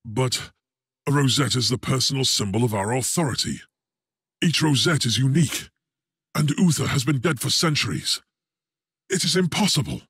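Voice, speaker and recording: An actor playing a learned, composed inquisitor's ally in a video game, speaking in a measured, articulate, formal manner. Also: male voice, mid-range voice, fairly bright tone, husky, no echo, clean studio sound. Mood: doubtful. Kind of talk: video game cutscene acting.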